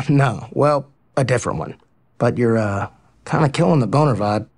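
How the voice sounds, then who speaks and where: A man's voice: medium pitch, moderate pace, slightly rough. An actor playing an easygoing, cocky, slightly dim male athlete in a romantic comedy audio drama, casual and nonchalant. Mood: sheepish, unbothered.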